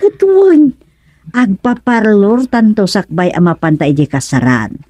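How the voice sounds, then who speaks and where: A woman's voice: mid-range voice, animated, measured pace, dark tone, no room sound. A woman with an Ilocano accent playing a practical, teasing village wife in a radio comedy-drama, speaking expressively. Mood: instructing.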